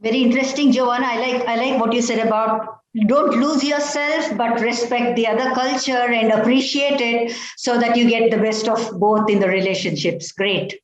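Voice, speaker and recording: Echoey, measured woman moderating a panel. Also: a little high, steady tone. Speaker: panel host.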